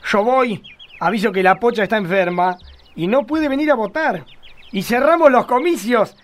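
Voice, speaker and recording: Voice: medium pitch, normal speed, lightly acted. An actor playing a scheming, hot-tempered small-town candidate in a radio play, theatrical and bossy. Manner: bossy, theatrical, barking orders.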